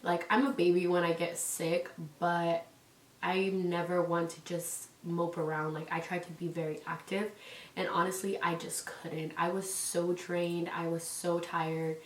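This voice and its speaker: A young woman with a low-set voice chats casually at home, rambling and filling her talk with "like" and "um." She sounds stuffy and congested from a cold. The echoey room and her distance from the mic give it a loose, hollow sound. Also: little pitch movement, measured pace. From California. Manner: rambling, many "like"s and "um"s. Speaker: parenting vlogger. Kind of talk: casual chatty vlog. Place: indoors at home.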